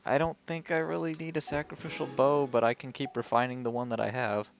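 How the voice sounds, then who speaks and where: A man's voice: mid-range voice, flat delivery, a little fast, vocal fry, lo-fi sound. A man streaming a video game, giving casual live commentary and thinking aloud as if talking to himself. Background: video game music.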